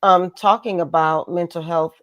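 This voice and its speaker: A woman's voice: on the low side, fairly even intonation, normal speed, a bit bright, no echo, lo-fi sound. A woman hosting a conversational interview, affirming her guest and feeling out her phrasing as she goes. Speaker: interview host.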